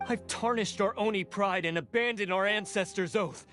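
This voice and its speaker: A man's voice: sits high, moderate pace, bright, forceful tone. A man voicing a proud, guilt-ridden blue oni in a video game, intense and bitter in manner. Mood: bitter, resolved. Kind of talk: dramatic game voice acting. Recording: background music under the voice.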